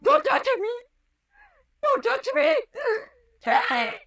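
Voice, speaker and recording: A woman's voice: very high-pitched, wildly swooping pitch, very slow, vocal fry, a bit bright, full character voice. An actress playing a terrified, wounded young woman in a melodramatic old radio horror serial, wailing and pleading. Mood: hysterical terror. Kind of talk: 1930s-40s radio horror acting. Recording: echoey old radio recording, distant mic.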